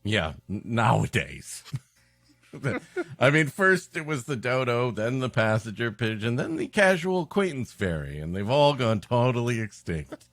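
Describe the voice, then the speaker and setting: A man's voice: medium pitch, very animated, unhurried, fairly bright tone, no room sound, faint music behind. A man co-hosting a comedy podcast, improvising sarcastic, mock-serious riffs in casual banter. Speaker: podcast co-host.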